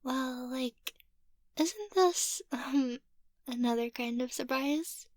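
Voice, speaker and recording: A bubbly, childish young lady of the manor, acted by a woman in an ASMR roleplay. Her voice is high and girlish, with an unhurried, playful delivery. The sound is dry and close. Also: darkish tone, no room sound. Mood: sheepish.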